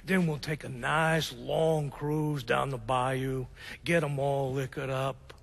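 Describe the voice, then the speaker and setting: An actor playing a crooked, fast-talking American mobster in a video game, crude, streetwise and defensive. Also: male voice, medium pitch, flat delivery, gravelly. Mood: scheming, eager. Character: crooked, fast-talking mobster, scheming younger-sounding brother of a boss. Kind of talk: video game cutscene acting.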